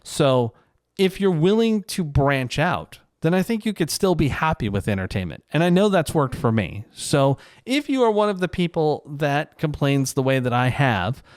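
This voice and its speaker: A man's voice: fairly high pitch, sing-song, normal speed, no room sound, clean studio sound. A man talking casually and reflectively on his own, in a conversational, rambling, self-deprecating way, like a vlogger. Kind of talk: casual reflective solo commentary.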